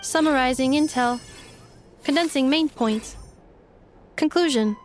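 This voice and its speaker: A calm robot guardian from a video game. Her voice is slightly high, measured and literal. She delivers everything formally and deadpan, with faint music behind her. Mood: flat, composed. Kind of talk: video game character acting.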